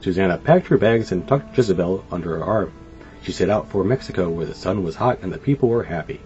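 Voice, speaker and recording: A man reading a children's story aloud in an animated, expressive way. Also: low-pitched, a little fast. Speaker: storybook reader. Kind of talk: scripted children's story narration. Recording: dull lo-fi sound. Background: light music.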